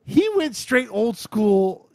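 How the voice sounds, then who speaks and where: An American man co-hosting a podcast, chatting casually in an excitable, enthusiastic way and swearing freely as he retells sports highlights. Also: slow, creaky, crowd noise. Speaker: podcast co-host.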